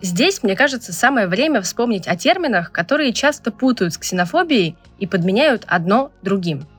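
A woman's voice: mid-range voice, sing-song, normal speed, breathy edge, soft background music. A woman hosting a podcast, reading scripted narration that links the segments. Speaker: podcast host.

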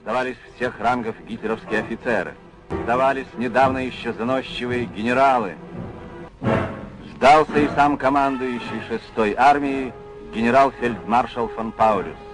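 A man's voice: mid-range voice, rough recording. Man narrating over prominent music. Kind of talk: documentary-style scripted narration.

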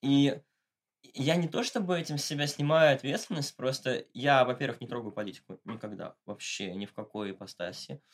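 A man's voice: medium pitch, brisk. A man giving a casual, slangy and ironic interview as a podcast guest. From Russia, Moscow. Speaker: interview guest, satirical blogger.

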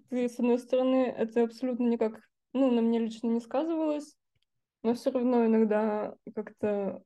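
A woman's voice: on the high side, dark tone, highs cut off. A young woman from Russia, an exchange student, answering interview questions in a hesitant, thoughtful way. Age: young adult. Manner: hesitant, thoughtful, many fillers.

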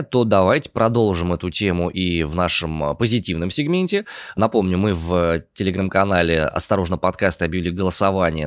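Male news podcast host, lower voice with a lively swing, chatty delivery. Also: no echo, clean studio sound. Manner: lively, chatty, rises in pitch for emphasis.

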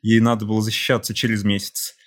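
A man speaks as a podcast guest, with a husky, slightly breathy voice. He tells a long story, explains it step by step, and acts out the people he quotes. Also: lowish voice, flat delivery, fast. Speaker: podcast guest, creative director. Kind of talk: relaxed interview storytelling.

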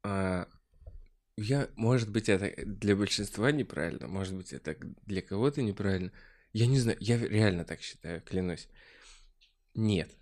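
A man's voice: fairly low pitch, moderate pace, a little mellow. A man talking casually in a podcast discussion, explaining his views earnestly and emphatically.

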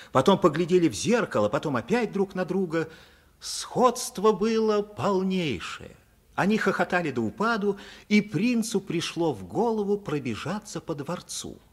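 A man's voice: high voice, breathy edge. A man narrating a story expressively for a radio play.

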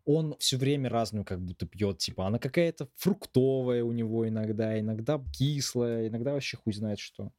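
A man's voice: mid-range voice, moderate pace, hardly any pauses, a little mellow, no echo. A young man, chatting as a podcast co-host in a slangy, wry way with some swearing. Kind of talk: casual chat with swearing.

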